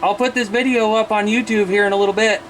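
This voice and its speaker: A man's voice: high-pitched, fairly even intonation, quick pace, very noisy. An American man chatting enthusiastically as a CB radio operator, talking to other operators and to his viewers. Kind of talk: casual radio chat and vlogging to viewers.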